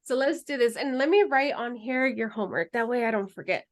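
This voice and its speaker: A woman teaching an herbalism class in a relaxed, chatty way, then leading a guided meditation. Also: on the high side, a little fast. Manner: relaxed, chatty, laughs easily.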